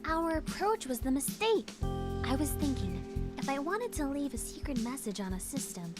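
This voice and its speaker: A woman's voice: high voice, normal speed. A woman voicing a playful young female hacker in a visual novel, coy and teasing, over game music. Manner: teasing, upbeat, lively delivery.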